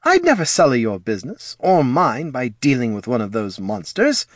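A man's voice: mid-range voice, huge pitch swings, moderate pace, no room sound, clean studio sound. A man voicing a breezy, cheeky halfling schemer in a fantasy audiobook, flippant and casual. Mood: nonchalant.

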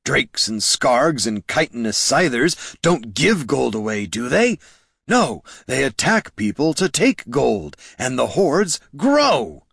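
A man acts out an ancient dwarf banker from a fantasy storybook, harsh and condescending. The voice is husky and worn, with big swings of emphasis in a very dry recording. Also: mid-range voice, big pitch swings, takes it slowly, fairly bright tone, no echo, voice pushed up, full character voice. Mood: scornful. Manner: snorting, stern, condescending.

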